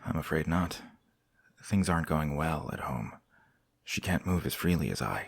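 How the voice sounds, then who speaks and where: An actor playing a courteous visiting prince in an audio drama, measured and formal in manner. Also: male voice, a bass voice, expressive, vocal fry, slightly rough, dry recording, studio quality, full character voice. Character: courteous, composed visiting foreign prince, a candid friend.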